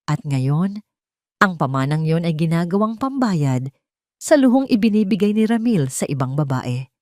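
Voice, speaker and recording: A woman's voice: on the low side, lively intonation, moderate pace, darkish tone, no echo, clean studio sound. A woman narrating a scripted romance drama as a storyteller, in a dramatic style.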